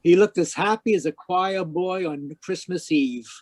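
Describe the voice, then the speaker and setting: A man playing a cynical private detective in 1940s radio noir style, wisecracking and deadpan as he talks and narrates. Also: high-pitched, a little slow. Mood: wry narration. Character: cynical hard-boiled male private detective.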